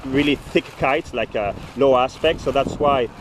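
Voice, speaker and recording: A man's voice: medium pitch, moderate pace. A man with a Greek accent, chatting and asking questions in a casual outdoor interview, laughing often and restarting his sentences. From Greece. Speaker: interviewer and kite maker. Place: windy beach outdoors. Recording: clear wind and water noise.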